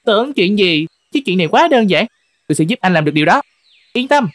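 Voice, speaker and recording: A woman's voice: medium pitch, very animated, fast, dry recording, clean studio sound, natural voice. A woman voicing a crafty, friendly fox in a cartoon folk tale, chatty and confident. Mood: confident, reassuring. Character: crafty, friendly, self-assured fox, loyal helper. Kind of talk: animated folk tale dialogue. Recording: music under the voice.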